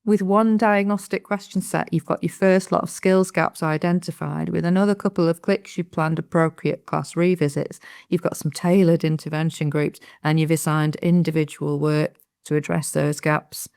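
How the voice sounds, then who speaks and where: A British woman walking through a software platform on a video call, in a clear, explanatory way. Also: sits low, fairly even intonation, normal speed, few pauses. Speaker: product demonstrator. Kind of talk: software demo walkthrough.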